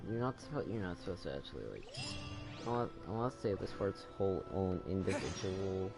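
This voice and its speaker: A young man giving casual, informal gameplay commentary, often halting and repeating his words. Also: sits low, vocal fry, music in the background. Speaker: gaming streamer. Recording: noisy home microphone, clicks.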